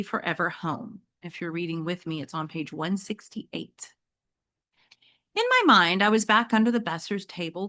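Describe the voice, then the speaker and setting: A woman with a fairly low voice reads a children's story aloud like a teacher. Her phrasing is expressive and addressed directly to young listeners. The recording is dry, with little sense of a room. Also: no echo. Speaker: teacher reading aloud to students.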